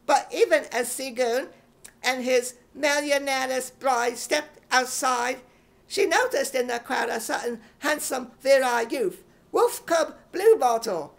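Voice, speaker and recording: A man's voice: extremely high pitch, unhurried, creaky, exaggerated voice. A man voicing a naive, boastful schoolboy in a British accent, narrating his own adventures melodramatically in a radio comedy. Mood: boastful, dramatic. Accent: imitated British. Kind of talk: 1950s radio comedy acting.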